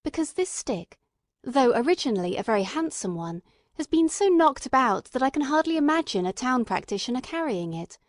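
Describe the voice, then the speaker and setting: A woman's voice: sits high, sing-song, a little fast, dry recording, studio quality. A woman playing an earnest, eager male doctor and companion who narrates a detective audiobook in careful, literate storytelling. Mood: earnest, reasoning.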